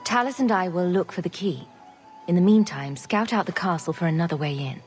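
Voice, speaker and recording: Woman as a sarcastic, lower-voiced heroine. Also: a little fast, faint music behind, close to an everyday voice. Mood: decisive. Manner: dry, deadpan quips. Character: sarcastic, self-assured female champion adventurer. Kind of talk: video game cutscene acting.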